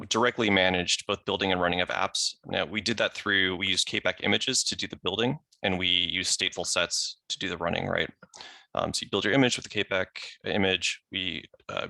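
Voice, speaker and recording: A man with a low, gravelly voice walks through a technical presentation. He sounds crisp and bright, recorded close and dry, and keeps the explanation casual with little asides. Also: no echo. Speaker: software engineer presenting. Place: remote video call.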